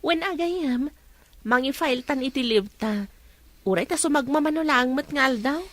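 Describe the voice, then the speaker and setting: An actress playing a calm, reassuring young woman friend in an Ilocano radio drama. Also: sits high, normal speed, close to an everyday voice.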